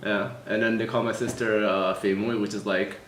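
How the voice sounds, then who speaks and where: A man's voice: on the low side, normal speed, reverberant, over music. A young man from the United States talking loosely about his family, full of fillers. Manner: relaxed, loose, with many fillers. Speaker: interviewee in a couple's conversation. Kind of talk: casual personal chat.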